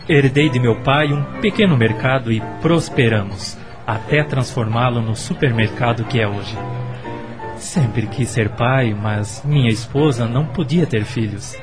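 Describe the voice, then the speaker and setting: An actor playing a gentle, lovestruck middle-aged widower in a radio soap, speaking earnestly and courteously. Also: male voice, mid-range voice, natural voice. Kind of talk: Brazilian radio soap acting.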